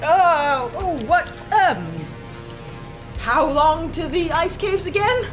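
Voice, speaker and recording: A woman's voice: very high-pitched, music in the background. A woman playing an anxious, awkward human space traveller in a sci-fi audio drama, with a hesitant, naturalistic delivery. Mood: flustered. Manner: hesitant, flustered, halting.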